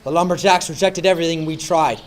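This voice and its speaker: A man's voice: a little high, quick pace, a little hiss or hum, lightly acted. A man playing a theatrical, exasperated hero who also narrates a comic radio play. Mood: dramatic narrating.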